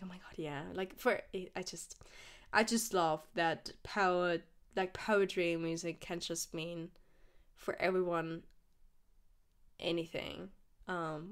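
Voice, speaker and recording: Woman podcast host rambling about new music, kinda low voice, lots of ums. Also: clean tone. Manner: rambling, chatty, enthusiastic, many fillers. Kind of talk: casual solo podcast chat.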